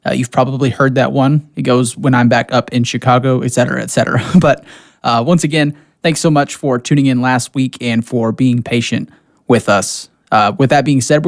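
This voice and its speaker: A man's voice: medium pitch, dry recording, clean studio sound. A man hosting a radio music show, chatting in a casual, informal, conversational way. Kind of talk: casual radio host chat introducing music.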